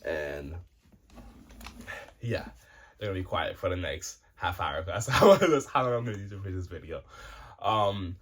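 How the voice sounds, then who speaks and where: A man's voice: low voice, animated, normal speed, long pauses, breathy edge. A man in his twenties talking casually to his viewers, rambling and cursing freely with lots of restarts and fillers. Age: 20s. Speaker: vlogger. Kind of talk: casual rambling monologue to camera.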